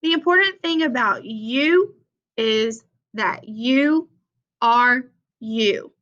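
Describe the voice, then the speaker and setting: Bright, slow-paced woman reading to children. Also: a little high. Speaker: read-aloud presenter for children. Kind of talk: children's picture book read-aloud. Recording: dull, lo-fi sound.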